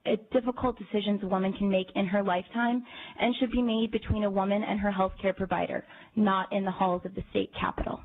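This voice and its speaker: A woman's voice: mid-range voice, fairly even intonation, quick pace. A young woman testifying at a committee hearing in a composed, articulate and formal way. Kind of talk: prepared public testimony. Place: legislative committee hearing room. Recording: tinny, phone-like archive audio.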